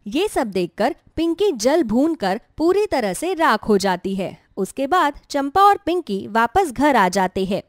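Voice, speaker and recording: A woman's voice: high-pitched, sing-song, measured pace, hardly any pauses, dry recording, studio quality. A woman narrating a scripted moral story as a storyteller.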